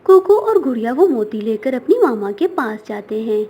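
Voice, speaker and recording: A woman's voice: a little high, big pitch swings, normal speed, slightly rough, lo-fi sound. A woman storyteller reading scripted narration for a children's story.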